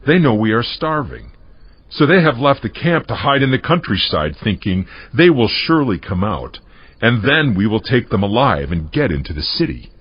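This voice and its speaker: Bible drama king played by a man: commanding, suspicious, husky and lively, dry but dull recording. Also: on the low side, moderate pace, no room sound. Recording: dull, muffled audio.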